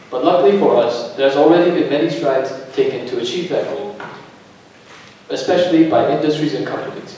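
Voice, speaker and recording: A man's voice: mid-range voice, mellow. A young man from Myanmar giving a rehearsed formal presentation as part of a team. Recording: very echoey room, distant mic.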